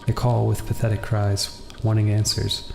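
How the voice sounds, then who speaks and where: Guy narrating a visual novel, really flat and creaky, kinda subdued, bit of room echo. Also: lowish voice, normal speed. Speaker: gaming video host. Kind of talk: reading visual novel narration aloud with brief casual asides. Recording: roomy echo, faint background noise.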